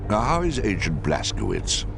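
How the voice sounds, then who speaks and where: A very low, swooping voice for a senior spy chief in a game cutscene. He is measured and authoritative, and used to command. Loud music plays behind him. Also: huge pitch swings, gravelly, exaggerated voice. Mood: pensive, measured. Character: senior Allied intelligence director, man, measured and authoritative. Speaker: commanding officer. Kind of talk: video game cutscene acting.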